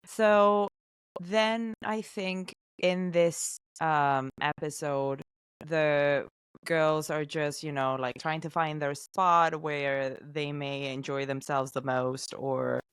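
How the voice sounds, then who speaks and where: A woman with a low, smooth, resonant voice hosts a podcast. English is her second language, and she tells the story in an animated way, acting out each character's lines. The sound is dry and close to the mic. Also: slow, dry recording. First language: not English. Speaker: podcast host. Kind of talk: casual podcast recap.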